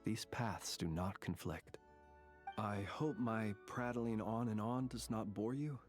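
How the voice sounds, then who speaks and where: A courteous, wistful ancient dragon prince. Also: male voice, on the low side, soft background music. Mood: gentle, reassuring. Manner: formal, measured, old-fashioned courteous phrasing. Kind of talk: scripted game character dialogue.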